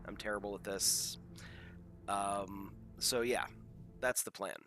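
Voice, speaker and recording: Male live-stream host, congested with a cold, bright and slightly low, casual and self-deprecating, music behind. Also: fairly even intonation, takes it slowly. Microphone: headset.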